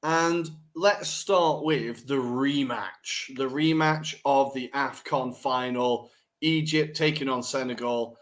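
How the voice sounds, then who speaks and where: Chatty man recapping football. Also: mid-range voice. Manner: chatty and animated, blunt, quick to laugh. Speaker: podcast co-host. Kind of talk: casual football recap chat.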